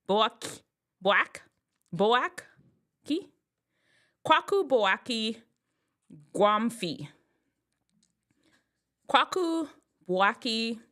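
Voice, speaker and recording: A woman in her thirties from Jamaica talks straight to her viewers in a loose, storytelling way. Her pitch leaps and swoops all over the place when something excites her, and she breaks into laughter at her own jokes. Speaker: vlogger. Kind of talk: casual chat to camera.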